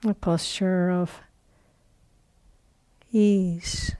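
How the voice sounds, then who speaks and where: A woman teaching guided meditation, speaking in short, unhurried phrases with long pauses between them. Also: lowish voice, fairly even intonation, dark tone, smooth, no room sound.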